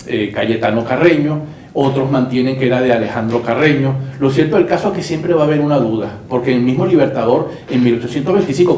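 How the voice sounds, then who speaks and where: A man talks through history at an unhurried pace, stumbling over and repeating small words. His voice sounds roomy and a little far from the mic, with a faint hiss in the background. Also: medium pitch, darkish tone. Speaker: guest, lawyer and writer. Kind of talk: conversational storytelling.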